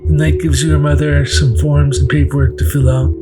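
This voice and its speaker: A man giving calm, gentle, scripted ASMR narration of a fantasy story. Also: on the high side, moderate pace. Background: soft electronic ambient music.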